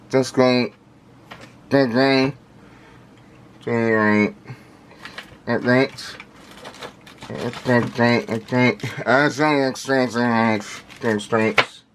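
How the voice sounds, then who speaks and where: Male vlogger, very slow and halting, indistinct diction, repeats words, mid-pitched, noisy recording. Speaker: vlogger unboxing audio gear. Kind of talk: casual unscripted unboxing talk.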